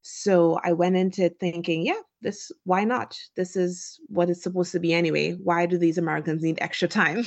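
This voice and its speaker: A woman's voice: fairly low pitch, fairly even intonation, normal speed, creaky, few pauses. A woman from Trinidad and Tobago giving reflective, informal interview answers as a guest, recorded over a remote call with dull, lo-fi sound. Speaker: physician guest on a podcast.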